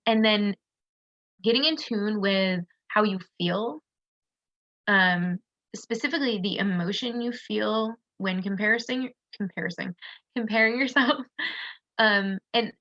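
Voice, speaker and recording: Bright-voiced woman with a stuffy nose. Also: mid-range voice, lo-fi sound. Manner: chatty, loose, with many restarts and fillers. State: stuffy nose, losing her voice. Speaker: business coach. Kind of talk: casual live-stream advice talk. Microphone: streaming on a social video platform.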